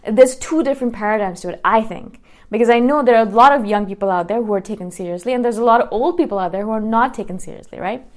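A woman's voice: on the high side, fast. A woman in her thirties, a YouTuber talking straight to her viewers in a casual monologue, earnest and full of restarts and "right?" checks. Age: 30s. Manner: earnest, repeats words and restarts often, asks "right?".

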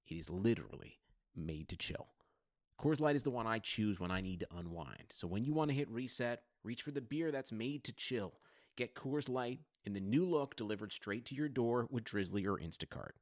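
A man's voice: medium pitch, animated, normal speed, no echo, clean studio sound. A man reading a scripted advertisement as an announcer. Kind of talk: scripted ad read.

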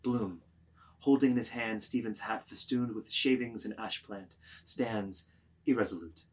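A man narrating a literary work, reading stage directions aloud with measured, deliberate phrasing. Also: lowish voice.

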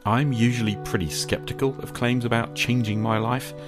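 A man speaking as a podcast host in a reflective scripted monologue, with an earnest, measured manner. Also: medium pitch, fairly even intonation, over music.

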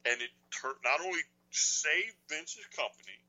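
Deep-voiced man with a bright edge. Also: lively intonation, measured pace, lo-fi sound. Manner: storytelling, wry, joking. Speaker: podcast co-host. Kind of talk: casual chat about wrestling.

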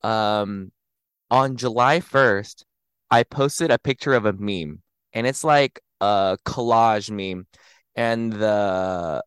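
Man, late twenties, podcast host, slightly raspy, smooth tone, dry close mic, animated casual chat. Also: on the low side, slow pace, dry recording. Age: 20s. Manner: animated, giggly, chatty.

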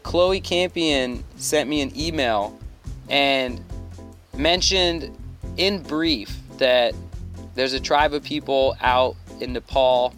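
A man from Thailand telling a travel story in a loose, animated way as a podcast guest. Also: mid-range voice, takes it slowly, soft background music. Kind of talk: casual storytelling.

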